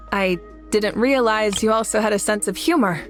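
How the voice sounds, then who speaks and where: A woman voicing a composed female fire goddess who leads her nation, calm, polite and measured in a video game cutscene. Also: medium pitch, natural voice. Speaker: leader. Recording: game audio with faint music.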